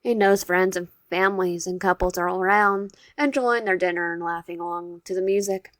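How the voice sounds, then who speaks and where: A woman's voice: on the low side, fairly even intonation, brisk, no room sound. A woman casually reading a dating-sim visual novel aloud, with frequent slips and restarts. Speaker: gaming channel host.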